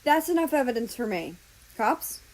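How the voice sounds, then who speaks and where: A woman's voice: fairly high pitch, very animated, moderate pace, darkish tone, voice pushed up, rough recording. A woman voicing a stern, dismissive authority figure who gives curt orders in a story reading. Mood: commanding. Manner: commanding, curt.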